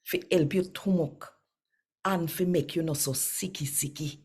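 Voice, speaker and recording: Low, slow-paced Jamaican woman. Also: darkish tone, slightly rough. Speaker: host reading daily scripture. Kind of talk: scripture reading and devotional talk in Jamaican Patois.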